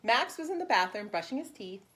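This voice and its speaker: A woman with a fairly high, very lively voice reads aloud for young children. She speaks simply and explains as she goes, stressing words expressively like a preschool teacher. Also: brisk.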